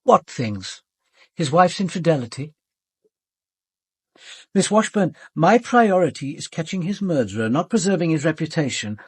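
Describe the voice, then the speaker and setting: A cool, unyielding police commander in a radio drama, questioning with formal courtesy. His voice sits fairly high and has a husky edge, recorded close and dry. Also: sing-song, dry recording. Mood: firm. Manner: measured, formal, probing.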